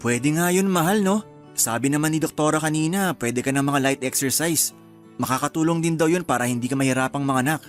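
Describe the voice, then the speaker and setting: A man's voice: on the high side, brisk, soft background music, close to an everyday voice. A man playing an affectionate, easygoing young husband and son in a Filipino radio drama, with a Filipino accent. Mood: coaxing. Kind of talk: Tagalog radio drama acting.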